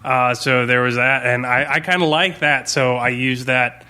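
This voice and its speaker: A man's voice: mid-range voice, steady tone, moderate pace, bright, forceful tone, clean tone. A man speaking as a game master, talking casually and looking back on a campaign in a podcast discussion. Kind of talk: casual chat, tabletop gaming post-mortem podcast.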